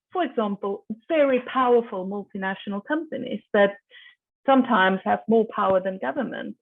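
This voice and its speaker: A woman speaks as a panelist over a video call, and the audio sounds tinny and phone-like. She thinks aloud and often stops to restart her sentences. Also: mid-range voice. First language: Afrikaans. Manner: thinking aloud, hesitant with repeated starts.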